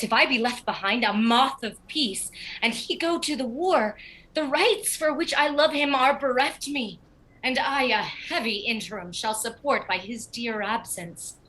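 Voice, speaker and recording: A woman's voice: a little high, measured pace, a bit bright, rough recording. A woman playing a dutiful yet resolute young noble bride in a Shakespearean radio play, earnest and formal, on a home video-call recording. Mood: pleading.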